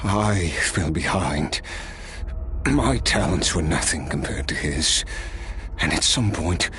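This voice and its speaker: An actor playing an old immortal warrior in a video game, weary and wistful, with a reflective, wry manner. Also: male voice, very deep voice, expressive, takes it slowly, a bit bright, a little hiss or hum, exaggerated voice. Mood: solemn reminiscence. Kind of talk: dramatic video game voice acting, storytelling.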